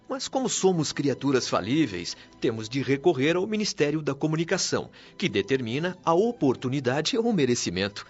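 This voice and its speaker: A male actor voices a wise Brazilian spirit mentor in a radio play. He teaches patiently and formally, with a very mobile, mid-pitched voice. The sound is a little dull, with music playing underneath. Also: husky. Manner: didactic, earnest, formal. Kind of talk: radio drama acting.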